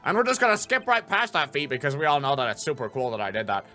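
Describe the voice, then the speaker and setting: A man's voice: on the high side, big pitch swings, fast talker, bright, forceful tone, faint music behind. A man doing playful, theatrical gameplay commentary as he reads out game characters. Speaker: voice actor, gameplay host.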